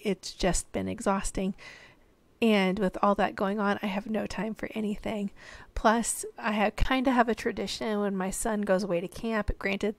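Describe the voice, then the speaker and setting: A woman in her thirties from the American Midwest, hoarse and losing her voice, chatting in a rambling voiceover at home. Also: medium pitch, no echo. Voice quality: tired, yawning. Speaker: reseller vlogger.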